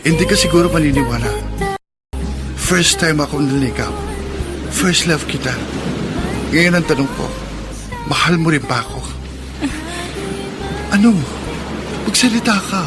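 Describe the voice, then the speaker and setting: An actor playing an earnest young suitor in a Filipino radio drama. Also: male voice, fairly high pitch, animated, unhurried, long pauses, loud music behind the voice. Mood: earnest, pressing. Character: earnest young suitor pressing his girlfriend for love.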